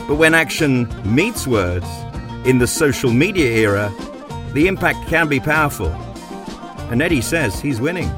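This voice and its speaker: A man from England hosts a podcast, his voice climbing and falling a lot as he narrates. He speaks in a measured, emphatic way, and music plays clearly behind him. His pitch sits a little above most men's. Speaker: podcast host. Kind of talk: scripted narration and interview.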